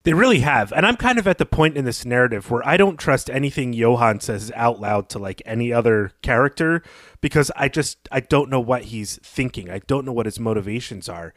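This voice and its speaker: A man's voice: mid-range voice, animated, fairly quick, a bit bright, no room sound, clean studio sound. A man co-hosting a podcast, reflectively analyzing a story in casual conversation. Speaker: podcast co-host.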